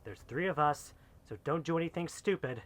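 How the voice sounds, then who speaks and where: An actor playing an excitable outlaw henchman in a sci-fi western drama, with casual, wry talk. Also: on the high side, fairly quick. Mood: menacing.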